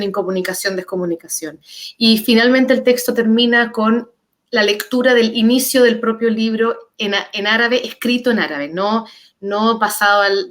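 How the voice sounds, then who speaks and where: A woman with a Chilean accent reflects aloud in a panel discussion. Her voice sits at a middle pitch. She is thoughtful and searching, circling a point with pairs of words until she lands on it. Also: a bit bright. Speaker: author on a literary panel.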